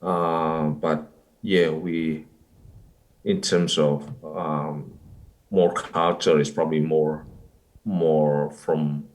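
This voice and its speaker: A man from North Sumatra, a podcast guest, who answers slowly with many pauses and fillers. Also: very deep voice, flat delivery, a little mellow. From North Sumatra, Indonesia. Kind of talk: casual interview answers.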